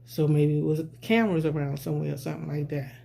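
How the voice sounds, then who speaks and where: A man's voice: a little high, fairly even intonation, fast, creaky, mellow, a little hiss or hum. A man vlogging a rambling, casual social commentary, often repeating "you know what I'm saying.".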